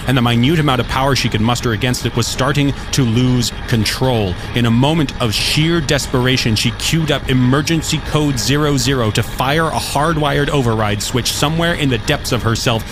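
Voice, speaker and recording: A man's voice: medium pitch, background noise. A man narrating a scripted science fiction story. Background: music under the voice.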